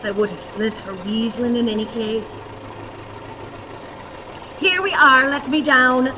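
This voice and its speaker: Radio play old woman, played by an actress: high, slow, fussy and self-pitying, exclaiming theatrically in a big echoing space. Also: close to an everyday voice. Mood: dismayed, lamenting. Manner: theatrical, exclamatory, wailing and lamenting. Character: stout, cantankerous, melodramatic old woman, stiff and self-pitying. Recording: reverberant hall, audience noise.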